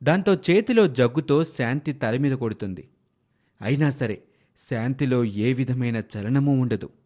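Casting: male narrator for moral tales, medium pitch, very animated, dramatic delivery. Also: measured pace. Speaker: storyteller. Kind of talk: scripted moral story narration.

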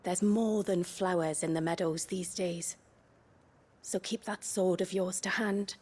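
Watchful practical woman, husky, slow-paced, lowish pitch, video game drama. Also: steady tone, a little mellow. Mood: cautioning. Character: watchful woman giving a plain, practical warning. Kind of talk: video game cutscene acting.

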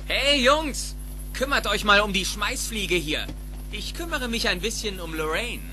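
A man's voice: sits high, animated, a little slow, pushed and bright, soft background music, heavily acted. An actor playing a drunken, threatening young male bully in an audio drama, taunting as he speaks. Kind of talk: German film audio drama.

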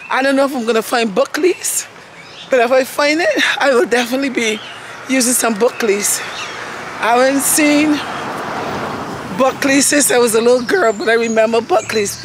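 A middle-aged woman with a hoarse voice, chatting straight to her phone camera on a travel vlog. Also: on the high side, moderate pace. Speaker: vlogger. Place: outdoors on a city street and in a park. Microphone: phone held at arm's length. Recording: street and traffic noise behind.

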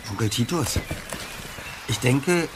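A man's voice: mid-range voice, expressive, slow pace, heavy background noise. An actor in a German audio drama playing a serious, insistent teenage boy detective, articulate and probing. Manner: articulate, probing, formal wording.

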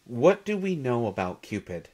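A man playing a shy, gentle substitute teacher, halting and nervous, in an intimate audio roleplay lecture. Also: medium pitch, big pitch swings. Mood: thoughtful, playful. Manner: hesitant, nervous, halting with soft chuckles. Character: shy, gentle male Greek god posing as substitute teacher.

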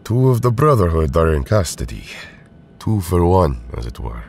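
Man playing patient superhero, deep husky voice, formal, measured, audio drama. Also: big pitch swings, a little mellow, exaggerated voice. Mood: wry. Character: calm, patient, big-brotherly male superhero.

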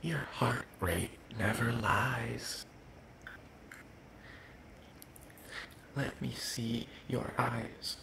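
A man voicing a hungry, soft-spoken demon in a hushed ASMR roleplay, halting and deliberate, sinister yet apologetic. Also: medium pitch, creaky, a little hiss or hum. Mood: menacing, hushed. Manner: slow, halting, hushed delivery with deliberate pauses.

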